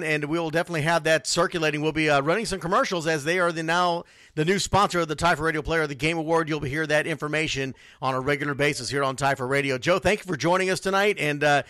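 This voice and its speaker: A man's voice: a little high, bright, forceful tone, dry recording. A man hosting a sports radio show, talking conversationally with a guest.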